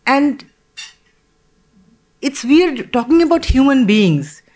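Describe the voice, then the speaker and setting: Slow, earnest woman from Bangladesh. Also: high voice, expressive, darkish tone. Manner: earnest, measured, with pauses and restarts. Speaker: panelist. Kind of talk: panel discussion.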